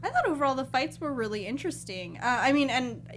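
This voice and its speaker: A woman with a fairly high voice co-hosts a casual film chat. She offers her opinions in a conversational, off-the-cuff way. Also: big pitch swings, fast talker, a bit bright. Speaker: podcast co-host.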